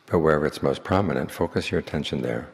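A man speaking as a Buddhist monk, leading a guided meditation in slow, measured phrases with long pauses. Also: extremely low pitch. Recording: long hall reverb.

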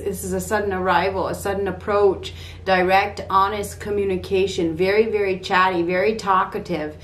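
A middle-aged woman giving an improvised tarot reading to camera in a small room at home, halting and repeating phrases as she thinks aloud. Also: mid-range voice. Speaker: tarot card reader. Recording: clear steady background room noise.

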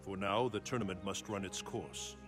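An actor playing a wise, protective thunder god in a video game, speaking in a grave, formal, measured manner. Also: male voice, low voice, steady tone, music in the background, natural voice. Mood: grave, foreboding. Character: solemn, wise male thunder god, protective elder mentor.

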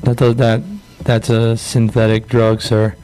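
A man's voice: mid-range voice, completely flat delivery, unhurried, warm tone, soft background music. A man giving a personal account as a radio show guest, earnest, defensive and a bit hesitant. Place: indoor room around a table.

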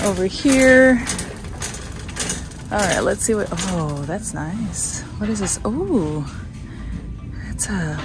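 A young woman vlogging casually while browsing a large store, chatting and murmuring to herself as she films on a handheld phone. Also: mid-range voice. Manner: chatty, soft-spoken, often murmuring to herself. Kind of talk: casual shop-along commentary. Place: large retail store indoors. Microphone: handheld phone camera. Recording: loud music under the voice. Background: loud background music.

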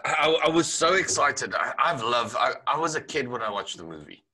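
A man's voice: mid-range voice, big pitch swings, normal speed, bright, forceful tone, breathy edge. A man, hosting a casual interview, talking eagerly in rambling sentences and laughing often. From South Africa. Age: 40s. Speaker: podcast host.

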